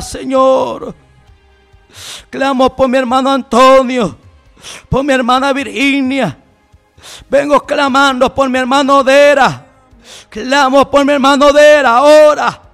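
A man's voice: extremely high pitch, gravelly, fairly bright tone. A man leading fervent Pentecostal intercessory prayer, pleading and exclaiming in repeated phrases. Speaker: prayer leader.

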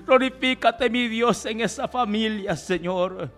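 A man's voice: sits high, measured pace, fairly bright tone. A male preacher leading a fervent Pentecostal healing prayer, pleading and exclamatory, with repeated cries of praise. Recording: music playing behind the voice. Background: music.

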